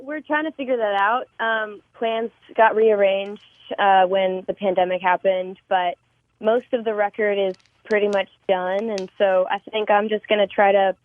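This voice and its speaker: A woman, a singer-songwriter guest, chatting in a warm, effusive and openly emotional way. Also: mid-range voice, dry recording. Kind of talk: casual interview chat. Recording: tinny phone-like remote line.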